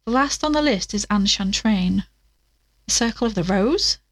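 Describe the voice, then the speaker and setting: A woman's voice: mid-range voice, animated, moderate pace, no echo. A woman playing a plucky, curious investigator in an audio drama, chatting to listeners with wry asides as she searches. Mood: puzzled, tense.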